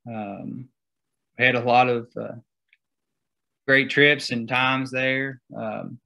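A young man gives a slide-show seminar talk over a video call. He speaks slowly, with a gravelly edge, and stops often on fillers as he walks through his slides. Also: mid-range voice, steady tone, sharp tone. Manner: halting, many fillers. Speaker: guest speaker.

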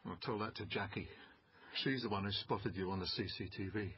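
A man's voice: lowish voice, brisk, close to an everyday voice. A man playing a busy, evasive senior British detective in a naturalistic radio drama. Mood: tense, exasperated. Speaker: actor in radio crime drama. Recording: slightly muffled broadcast, faint noise.

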